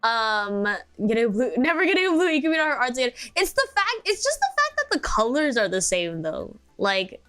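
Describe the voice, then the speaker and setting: A woman's voice: high voice, wildly swooping pitch, fairly quick, few pauses, bright, forceful tone, smooth, soft background music. A young American woman streaming, chatting casually and sleepily, rambling with many fillers and restarts. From United States.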